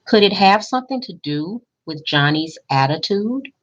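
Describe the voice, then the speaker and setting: A woman reading a picture book aloud expressively, pausing in a teacherly way to put questions to young listeners. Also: medium pitch, expressive, dull audio. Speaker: school principal reading aloud to children.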